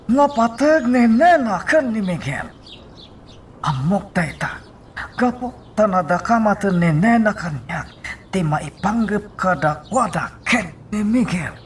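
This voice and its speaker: A woman's voice: medium pitch, sing-song, measured pace. A woman playing a cold, commanding villainess who schemes to kill, in a radio drama. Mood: scheming. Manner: commanding, menacing. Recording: loud noise behind the voice.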